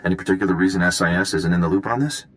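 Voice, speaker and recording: An intelligence agent in a video game. His voice is deep and he talks in a wry, conversational way, unruffled and knowing. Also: racing, fairly bright tone. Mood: measured, conversational.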